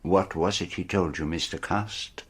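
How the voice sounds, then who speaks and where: An actor playing a proud, shrewd detective with a Belgian French accent in a radio play, speaking formally and precisely. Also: male voice, deep voice, fairly even intonation, a little slow, breathy edge. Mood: gentle, pressing.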